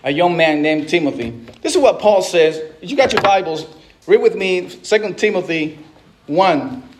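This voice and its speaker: A middle-aged pastor from the Dominican Republic preaching a sermon in a conversational way, with asides and frequent restarts. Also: male voice, sits high, expressive, moderate pace, noisy background.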